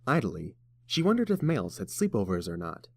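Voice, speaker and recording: A man's voice: on the low side, huge pitch swings, brisk, gravelly, slightly rough, no echo, studio quality. A man narrating a story from a script as a storybook narrator.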